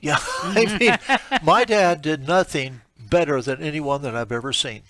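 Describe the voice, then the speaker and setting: A man's voice: fairly high pitch, a little slow, fairly bright tone. A man on a talk show panel telling an anecdote casually, acting out the dialogue.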